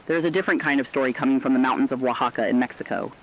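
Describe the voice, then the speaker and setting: A man's voice: medium pitch, rapid-fire, muffled recording, close to an everyday voice. A man playing a reflective radio storyteller in a fictional broadcast, relaying accounts in an earnest, contemplative, scripted manner.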